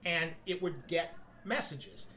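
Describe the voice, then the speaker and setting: A man in his fifties, a podcast guest who owns a coffee business, telling rambling anecdotes in a loud, carrying voice. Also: a little high, slow pace, a little hiss or hum. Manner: loud voice that carries; rambling anecdotes with many restarts. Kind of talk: casual storytelling among friends. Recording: other men's chatter and laughter around him.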